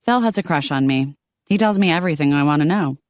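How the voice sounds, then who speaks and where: A woman's voice: sits low, lively intonation, quick pace, darkish tone, no echo, studio quality. Woman doing a smug gossiping vamp. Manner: smug, teasing. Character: catty punk-styled woman who gossips.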